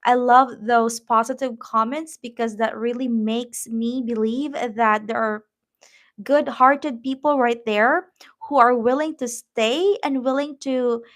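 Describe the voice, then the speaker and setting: Filipino woman, livestream host, slightly high voice, slow and encouraging with frequent fillers. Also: fairly even intonation. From Philippines. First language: Tagalog. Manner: warm, encouraging, reads viewer comments, many "um" fillers. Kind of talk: casual live-stream chat.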